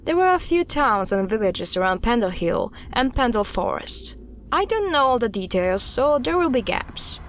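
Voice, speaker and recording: A woman playing an earnest, guarded expert recounting dark history in an audio drama styled as a recorded interview, with a measured, serious delivery. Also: a little high, very animated, a little hiss or hum. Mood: measured storytelling.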